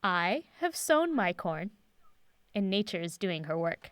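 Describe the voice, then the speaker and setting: A woman playing a self-assured, cryptic professor in an audio drama, speaking in a measured, guarded, teacherly way. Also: on the low side, sing-song, clear, resonant tone, no echo. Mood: patient, instructive.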